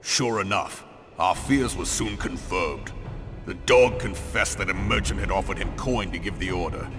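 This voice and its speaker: An actor playing a stern, blunt military commander in a fantasy video game, speaking gravely in formal, archaic phrasing. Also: male voice, deep voice, normal speed, music in the background. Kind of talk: scripted video game drama.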